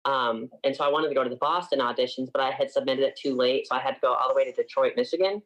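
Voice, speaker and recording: A man's voice: mid-range voice, quick pace, a bit bright, muffled recording. A man in his twenties from upstate New York, a singer chatting casually as an interview guest and filling his talk with frequent "like"s.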